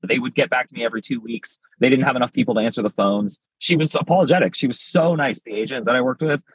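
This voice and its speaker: A man's voice: mid-range voice, fast talker, slightly rough, dry recording, poor audio. A man appearing as a podcast guest, talking candidly in a rambling way, with frequent "like" fillers. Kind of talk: casual podcast interview.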